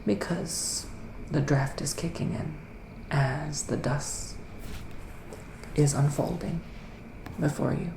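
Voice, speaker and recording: A soft-spoken man with a creaky voice acting out a psychic witch who gives readings in the woods. He drifts in and out of whispering, with theatrical, mystical phrasing. The recording is roomy, with faint noise behind it. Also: a little high, steady tone, slow pace. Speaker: ASMR performer playing a psychic reader. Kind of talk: ASMR roleplay, soft-spoken and partly whispered.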